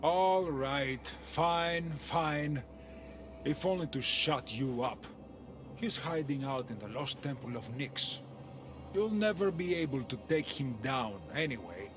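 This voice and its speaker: An actor playing an irritable, contemptuous underworld prisoner in a video game, scornful, exasperated and defiant. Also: male voice, fairly high pitch, animated, slow. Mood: grudging, defiant. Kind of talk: video game cutscene acting. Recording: music behind.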